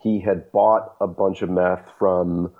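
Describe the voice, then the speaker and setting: Deep gravelly male journalist. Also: level tone, narrow, tinny audio. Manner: measured, explanatory, hesitant fillers. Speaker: journalist guest. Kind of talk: interview answers.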